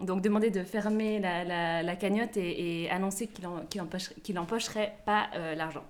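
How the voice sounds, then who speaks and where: A woman's voice: on the low side, steady tone, fairly quick. A woman co-hosting a podcast, telling a story in a chatty, amused way. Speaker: podcast co-host. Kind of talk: casual chat.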